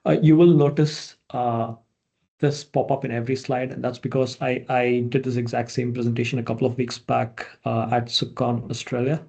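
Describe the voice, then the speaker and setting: A man from India, a product manager, giving a relaxed online presentation over a video call, with many fillers and restarts. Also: mid-range voice, moderate pace, gravelly, slightly rough.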